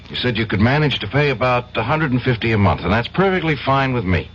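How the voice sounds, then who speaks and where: An actor playing an earnest, polite and somewhat hesitant young defense lawyer in a 1970s radio drama. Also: male voice, mid-range voice, sing-song, fairly quick, fairly bright tone. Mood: uneasy, businesslike. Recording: muffled old radio recording, heavy noise.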